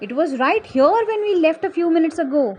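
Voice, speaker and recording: A woman's voice: very high-pitched, animated, fast, highs cut off, slight background noise, pitched above the performer's own voice. A woman voicing a concerned, practical female sparrow in a children's fable, earnest and questioning. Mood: puzzled, insistent.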